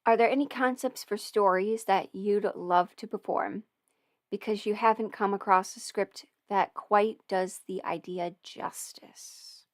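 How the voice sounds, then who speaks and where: A young woman hosts a relaxed livestream, answering questions in a chatty, wandering way full of fillers and self-corrections. Her voice sits at an ordinary middle pitch for a woman. It is recorded close and dry, with no room sound around it. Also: measured pace, no echo. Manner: chatty and meandering, many fillers and restarts. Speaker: audio performer and channel host. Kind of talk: casual livestream Q&A.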